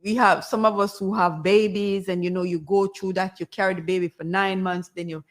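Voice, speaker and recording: A woman with a Jamaican accent, chatting casually as a guest and sharing health tips in a gentle, rambling way. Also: mid-range voice, little pitch movement, a little fast. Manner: gentle, rambling, many fillers. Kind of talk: casual health advice chat.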